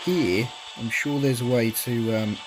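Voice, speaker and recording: A man's voice: medium pitch, a little slow, poor audio. A man casually commentating his speedrun, a little nasal from a cold, rambling with many ums and uhs. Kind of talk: casual live commentary over gameplay. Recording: game music playing behind the voice.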